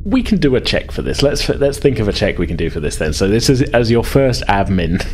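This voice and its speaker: Low-voiced man running a tabletop game, noisy recording. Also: big pitch swings, fairly quick, darkish tone. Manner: hesitant, thinking aloud. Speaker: game master. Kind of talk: casual tabletop game narration. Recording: clearly noisy background.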